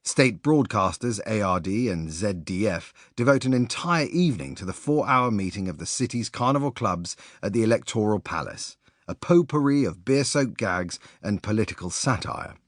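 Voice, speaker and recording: A man reading a sports biography as an audiobook narrator, in an expressive, measured storytelling manner. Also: fairly low pitch, dry recording, studio quality.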